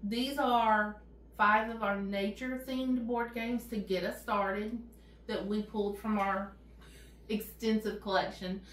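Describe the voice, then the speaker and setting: A woman's voice: medium pitch, fairly even intonation, slow, a bit bright. A woman in her thirties, co-hosting a casual channel chat in a friendly, upbeat way. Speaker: co-host. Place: indoor room with game table and backdrop. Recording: echoey room.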